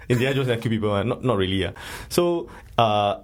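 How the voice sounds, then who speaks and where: A man's voice: lowish voice, lively intonation, moderate pace. A man with a Singaporean English accent, hosting a casual film-review chat in a jokey, rambling, colloquial way. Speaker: podcast host.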